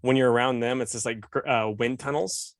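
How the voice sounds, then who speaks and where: Man, podcast co-host, casual rambling chat, mid pitch, dry close mic. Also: fairly even intonation, fairly quick, dry recording. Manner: laid-back, rambling with many "like"s.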